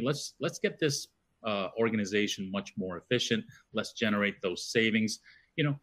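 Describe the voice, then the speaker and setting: A man's voice: medium pitch, a bit bright. A man moderating a business webinar panel in a conversational way, with many fillers and restarts.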